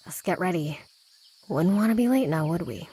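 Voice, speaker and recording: A woman's voice: lowish voice, expressive, moderate pace, warm tone, husky. A woman playing a blunt, sardonic, unimpressed young convent girl in a game, dry and curt. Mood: brisk, sardonic. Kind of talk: scripted visual novel voice acting.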